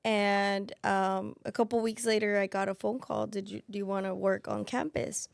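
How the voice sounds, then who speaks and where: A woman in her thirties whose first language is Spanish speaks in a gravelly, creaky voice. She talks thoughtfully in a casual interview, with many fillers and restarts, recorded dry and close. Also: mid-range voice, little pitch movement, moderate pace, no echo. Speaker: financial aid specialist.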